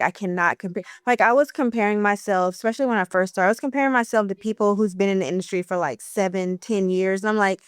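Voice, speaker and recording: A woman's voice: medium pitch, clear, resonant tone, no room sound, clean studio sound. A woman in her thirties, an esthetician, talking casually on a podcast in an encouraging way, with a light stutter and many fillers.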